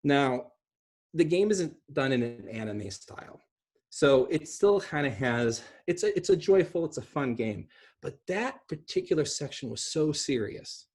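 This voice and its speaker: A middle-aged man with an American accent and a slightly husky voice, talking casually and off the cuff in an animated, rambling way. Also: fairly high pitch, unhurried. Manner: animated, gesturing, rambling with asides. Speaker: video host discussing video game films. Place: small room at home, indoors.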